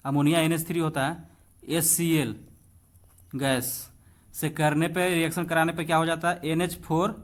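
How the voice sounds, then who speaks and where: A man with an Indian accent teaching an exam-prep lesson, reading questions aloud and explaining them directly to students in an instructive way. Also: fairly high pitch. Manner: instructive, addresses students directly, slows down when reading out English.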